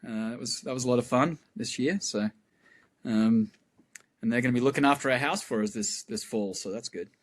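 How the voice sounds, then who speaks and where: Relaxed man chatting. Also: medium pitch, brisk. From Australia. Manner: relaxed, rambling with fillers. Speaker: guest astrophysicist. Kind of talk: casual chat.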